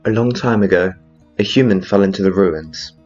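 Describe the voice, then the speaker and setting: A solemn game storyteller , played by a man, reciting an old tale. The voice is low and measured. The recording sounds a little dull. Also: a little mellow, soft background music, lightly acted. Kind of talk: scripted storytelling in game fan dub.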